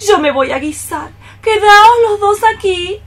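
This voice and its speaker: A woman's voice: extremely high pitch, lively intonation, a little slow, background noise, heavily acted. An actress playing a bossy, matchmaking cockroach mother, coaxing and insistent in a radio play. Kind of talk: radio theatre acting.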